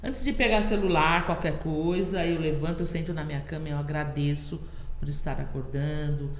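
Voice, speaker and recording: A woman's voice: deep voice, steady tone, moderate pace. Earnest Brazilian woman in an echoey room. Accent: Brazilian Portuguese. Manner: earnest, keeps asking "sabe?". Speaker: podcast guest, health worker. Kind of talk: casual interview chat. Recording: echoey room with long reverb.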